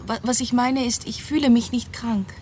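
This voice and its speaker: An actress playing a frail, anxious young gentlewoman in a German radio drama, earnest and hesitant. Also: a little high, fairly even intonation, normal speed, a little hiss or hum, lightly acted. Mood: weak, uneasy.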